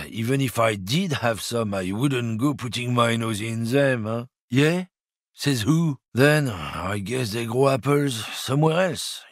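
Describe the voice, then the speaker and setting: A smug, bigoted lorry driver in a video game, gravelly and husky. His pitch swings up and down a lot as he confides and lectures. The studio recording is dry and close. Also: a bit bright, no room sound, exaggerated voice. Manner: smug, conspiratorial, chuckling.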